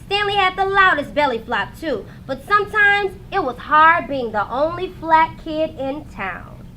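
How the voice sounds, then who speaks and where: Bright, high-pitched woman reading a storybook. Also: unhurried, slight background noise. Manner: expressive, dramatic emphasis for young listeners. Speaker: teacher reading aloud to students. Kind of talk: animated children's storybook narration.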